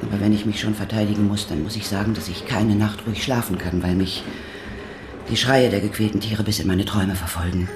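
An actor playing an earnest, haunted, self-justifying animal-rights activist in a radio drama, speaking deliberately. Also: male voice, lowish voice, fairly even intonation, noisy background, natural voice. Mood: defensive, haunted. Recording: echoey room, music underneath.

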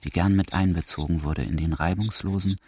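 A man narrates literary prose for a radio drama in a measured, deliberate, emphatic way. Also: deep voice, steady tone, a little mellow. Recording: clearly noisy recording with clicks.

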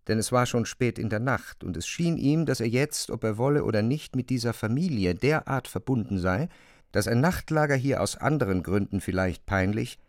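A man narrating a literary radio play in a measured, articulate way. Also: medium pitch, no echo, clean studio sound.

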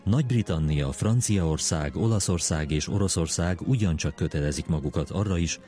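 A man reading official document text aloud in a measured, formal way for a history programme. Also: low-pitched, dark tone, soft background music. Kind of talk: formal read-aloud of treaty articles.

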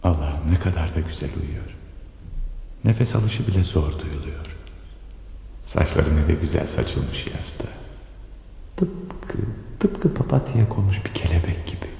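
A married stationmaster in a radio drama, secretly lovesick and awkward. His voice sits very low with a gravelly creak, and he speaks haltingly. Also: animated, mellow, slight background noise, full character voice. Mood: tender, yearning. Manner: hesitant, halting with women, dreamy when alone. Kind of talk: Turkish radio theatre acting.

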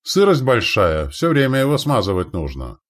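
A man's voice: medium pitch, wildly swooping pitch, moderate pace, voice pushed up. A man voicing a dutiful, eager young gunner with an earnest, obedient manner in an audiobook.

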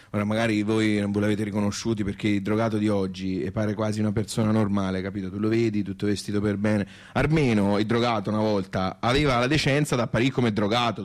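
An actor as deadpan Tuscan male complainer. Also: fairly low pitch, natural voice. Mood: deadpan complaining. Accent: Tuscan Italian. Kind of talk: theatrical radio drama dialogue and monologue.

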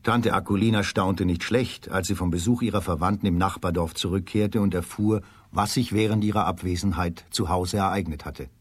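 Low, husky storyteller man. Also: steady tone, a little fast, few pauses, dry recording. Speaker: storyteller, narrator. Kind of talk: scripted narration for children's radio play.

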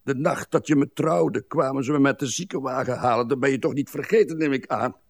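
An actor playing an ailing, blind old husband who grumbles at length in a morbid, crotchety way, in a literary radio play. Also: male voice, medium pitch, brisk, gravelly, no echo. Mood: querulous. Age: older. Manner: morbid, querulous, long-winded grumbling with musing asides. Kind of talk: literary radio drama acting.

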